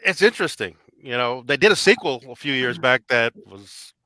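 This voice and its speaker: A man's voice: mid-range voice, very animated, normal speed, pushed and bright, no room sound, low-quality recording. A man chatting casually on a panel, sharing bits of trivia in an informative way. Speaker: podcast panelist. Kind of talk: casual chat.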